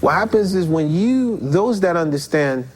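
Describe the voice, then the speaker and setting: A middle-aged man, telling stories in an interview in slangy, animated talk full of rhetorical questions. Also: high-pitched, normal speed. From Haiti. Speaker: musician guest. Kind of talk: relaxed storytelling interview.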